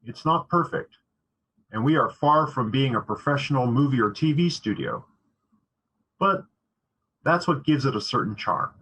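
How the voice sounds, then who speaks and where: A man with a slightly husky voice giving an earnest, scripted introduction as a theatre director. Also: medium pitch, animated, normal speed, long pauses, fairly bright tone, low-quality recording.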